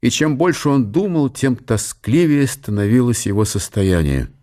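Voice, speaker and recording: An actor playing the sober narrator of a historical radio drama, reading literary prose in a measured, solemn way. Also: male voice, medium pitch, animated, mellow, natural voice. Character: sober storyteller of a historical tale. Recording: music behind the voice.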